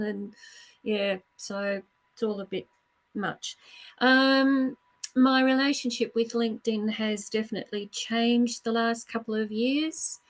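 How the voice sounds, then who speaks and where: A woman book publisher introducing herself on a video call, self-deprecating and laughing at herself. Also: on the high side. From Australia.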